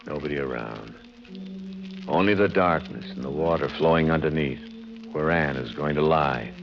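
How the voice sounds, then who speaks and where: An actor playing a desperate, guilt-haunted murderer in an old radio horror drama, narrating in halting, melodramatic phrases. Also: male voice, rumbling low, gravelly, music in the background. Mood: grim, haunted. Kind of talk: 1940s radio horror acting, first-person narration. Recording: noisy, dull old-time radio recording.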